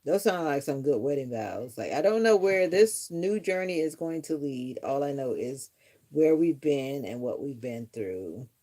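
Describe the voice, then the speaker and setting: A woman's voice: rumbling low, expressive, a little slow, warm tone. A middle-aged woman chatting casually on a live stream, musing earnestly to her viewers in a reflective, rambling way. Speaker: livestreamer.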